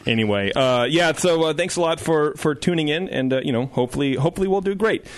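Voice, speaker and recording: A man's voice: a little high, lively intonation, fast, dry recording. A man hosting a casual radio talk show in a studio, joking and rambling with fillers. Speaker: podcast host.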